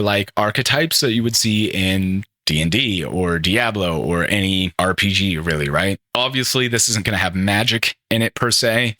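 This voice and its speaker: A man's voice: on the low side, bright, forceful tone, no room sound, clean studio sound. A young man hosting a gaming podcast alone, rambling and enthusiastic, often correcting himself as he talks through the games. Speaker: podcast host. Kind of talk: solo casual gaming podcast commentary.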